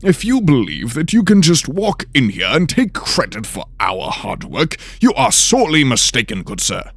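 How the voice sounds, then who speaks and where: A man's voice: medium pitch, wildly swooping pitch, moderate pace, fairly bright tone, breathy edge, no room sound, voice pushed up, full character voice. A man voicing a pompous, fussy older nobleman in a fantasy audio drama, speaking formally. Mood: indignant.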